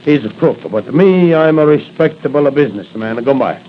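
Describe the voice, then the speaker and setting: Casting: male actor as indignant crooked businessman, slightly high voice, vintage radio melodrama, muffled noisy recording. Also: expressive, moderate pace, lightly acted. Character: hypocritical crooked businessman crime boss, indignant, self-pitying. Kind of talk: 1940s radio acting.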